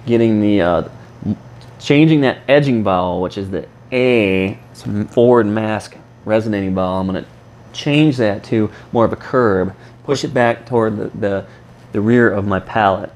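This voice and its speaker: A man demonstrating and explaining a vocal warm-up, his voice a little rough from a recent sinus infection. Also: on the low side, normal speed. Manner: explains while demonstrating sung vowels. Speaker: singing teacher.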